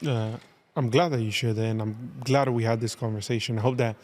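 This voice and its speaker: A man's voice: medium pitch, fairly even intonation, a little mellow. A male podcast host speaks earnestly, stumbling through restarts and filler words as he wraps up an interview. His voice sits in the middle range for a man. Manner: earnest, halting, with many restarts and "like". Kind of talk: interview wrap-up.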